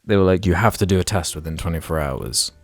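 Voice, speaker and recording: A man's voice: sits low, fast, no room sound, studio quality, faint music behind. A young man co-hosting a podcast, rambling casually with lots of filler words and the odd swear. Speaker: podcast co-host.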